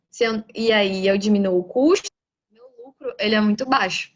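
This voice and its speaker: A woman from Bahia with a Bahian Brazilian accent, giving a lecture on management and often adding rhetorical tag questions. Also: fairly high pitch. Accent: Bahian Brazilian Portuguese. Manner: explanatory, frequent rhetorical "né?" tags. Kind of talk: online lecture. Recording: dull lo-fi audio.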